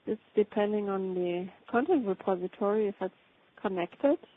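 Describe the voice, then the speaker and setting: A woman's voice: medium pitch, normal speed. A woman in a casual work conversation over a remote call, speaking in hesitant, piecemeal phrases. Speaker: colleague following a demo. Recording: dull call audio with faint noise.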